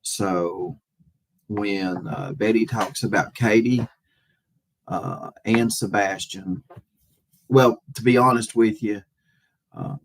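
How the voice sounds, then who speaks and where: A man hosting a casual livestream, talking to viewers in a halting, hesitant way with repeated phrases and a husky voice. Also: fairly low pitch, slight background noise.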